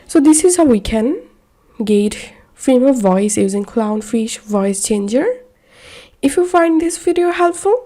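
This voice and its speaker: A woman with a high, mellow voice narrates a how-to guide at a slow pace. She presents it as a scripted tutorial, laying out instructions one step at a time. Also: lively intonation.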